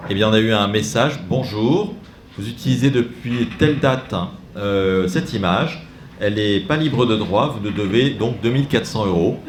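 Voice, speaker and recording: A man's voice: fairly low pitch, moderate pace, slight background noise. A man giving an informal training talk to catechists, chatty and digressive with self-mocking asides while demonstrating on a computer. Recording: echoey room, faint ambience.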